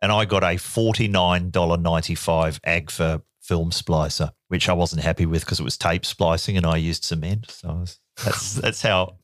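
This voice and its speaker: A middle-aged man co-hosting a casual chat, telling stories playfully and asking quick questions. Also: low voice, fairly even intonation, fairly bright tone, dry recording, studio quality. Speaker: co-host.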